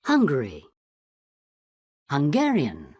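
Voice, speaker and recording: Husky woman slowly reading vocabulary. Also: low-pitched, wildly swooping pitch, a little mellow, no room sound, studio quality. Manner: careful, drawn-out pronunciation. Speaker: vocabulary reader for a language lesson. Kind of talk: reading word lists slowly.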